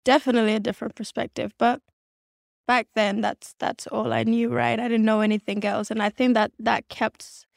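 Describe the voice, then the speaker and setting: A woman's voice: on the high side, flat delivery, moderate pace, creaky, dry recording, clean studio sound. A young woman from South Sudan, raised in Kenya and Australia, speaking as an interview guest, reflective and earnest, with frequent restarts. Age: young adult. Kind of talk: candid conversational interview.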